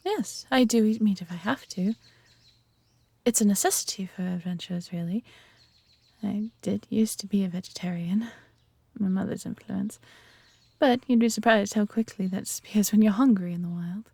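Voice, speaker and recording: A woman's voice: medium pitch, moderate pace, gravelly, darkish tone, slightly rough, dry recording. A woman with an English accent playing a friendly, adventurous elf archer in a roleplay audio drama, talking conversationally to the listener. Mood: friendly, chatty.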